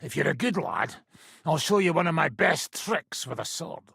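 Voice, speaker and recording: An actor playing a boisterous, hard-drinking mercenary swordsman in a video game, with a gravelly British voice and a rough, teasing manner. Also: a little high, very animated, moderate pace, a bit bright, dry recording, clean studio sound, heavily acted. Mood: instructing, teasing. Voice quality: gravelly, raspy. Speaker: combat trainer. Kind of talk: video game character dialogue.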